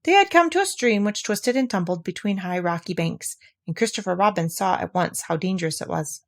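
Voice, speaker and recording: A woman reading a children's storybook aloud at an easy pace. Her narration is gentle and even, letting the story carry itself. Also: medium pitch, a little fast. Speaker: reader.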